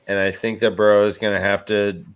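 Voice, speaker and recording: Halting man debating football. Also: fairly low pitch, level tone, a crowd in the background. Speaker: panelist on a fantasy football show. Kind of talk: casual sports debate. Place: online livestream group call.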